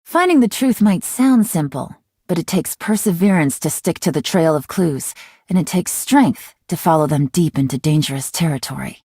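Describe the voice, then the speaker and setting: An actress playing a mysterious, calculating young female intelligence agent in a video game, with a measured, teasing and self-assured delivery. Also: low-pitched, lively intonation, warm tone, breathy edge, dry recording, studio quality, exaggerated voice. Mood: serious, assured. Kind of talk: scripted video game character voice lines.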